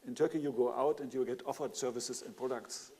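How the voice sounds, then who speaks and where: A man's voice: mid-range voice, steady tone, fairly quick, warm tone. A man with a German accent speaking as a panelist, hesitant with many fillers and restarts. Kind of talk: panel discussion.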